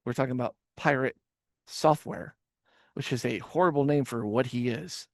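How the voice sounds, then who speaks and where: A man's voice: mid-range voice, moderate pace, vocal fry, no echo, clean studio sound. A man hosting a casual reaction podcast, rambling with stammered restarts and swearing. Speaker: podcast host.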